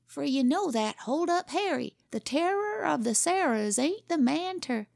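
A woman's voice: sits high, sing-song, a little slow, creaky, a little mellow, dry recording, studio quality, voice pushed up, heavily acted. A woman voicing a boy who plays a swaggering Western outlaw, talking in a slangy drawl.

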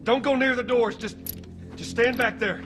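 A man playing a weary, protective middle-aged father with a gruff Southern American accent in a video game. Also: very high voice, steady tone, moderate pace, over music. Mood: tense, urgent. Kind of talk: naturalistic video game acting.

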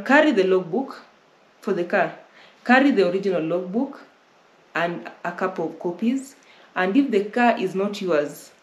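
A young Kenyan woman hosting a casual Q&A, giving travel advice and often rephrasing as she explains. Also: fairly low pitch. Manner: repeats and rephrases while explaining. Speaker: travel vlogger host. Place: indoor living room, on a sofa. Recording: roomy with faint noise.

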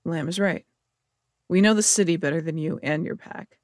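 A man playing a defiant, loyal city police officer in an audio drama, blunt and sharp-tongued. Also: a little high, moderate pace, no room sound, clean studio sound, natural voice. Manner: blunt, stubborn. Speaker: voice actor.

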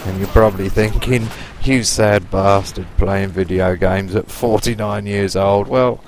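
A man's voice: lowish voice, fairly even intonation, a little slow, background noise. A man in his late forties with a Lancashire accent, chatting casually and rambling cheekily over his own video game footage. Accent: Lancashire English. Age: 40s. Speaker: gaming YouTuber. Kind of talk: casual gameplay commentary.

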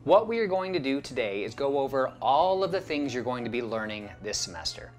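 Male professor in his 30s, encouraging lecture tone, studio set, soft music under. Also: medium pitch, very animated, a little fast. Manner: upbeat and encouraging. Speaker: acting professor. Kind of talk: lecture to camera.

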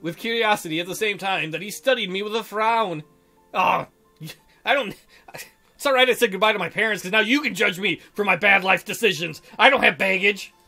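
A man's voice: sits high, a little fast, bright, forceful tone, faint music behind. A man hosting a game playthrough, reading the story aloud and adding goofy, crude joking asides. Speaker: gameplay host reading a visual novel aloud.

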